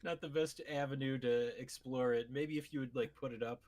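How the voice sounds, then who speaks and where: A man's voice: mid-range voice, fairly even intonation, a little fast, darkish tone. Wry male podcast host. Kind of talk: casual podcast chat.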